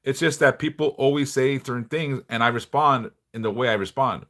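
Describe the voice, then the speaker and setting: A middle-aged man a big bass voice, chatting bluntly and passionately on a livestream, swearing freely. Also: brisk, a bit bright. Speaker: livestream host, devotional teacher. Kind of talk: casual livestream chat.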